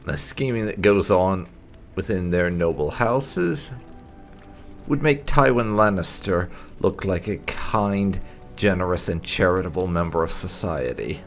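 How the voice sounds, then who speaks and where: A man hosting a gaming video, giving casual, wry playthrough commentary with some light roleplay in a gravelly voice. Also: low-pitched, expressive, a little slow. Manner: wry, sarcastic. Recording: game music behind. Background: game music.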